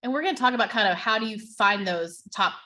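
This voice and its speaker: A woman's voice: mid-range voice, animated, fast talker, pushed and bright. A woman moderating a business panel, upbeat and enthusiastic, often gushing with praise.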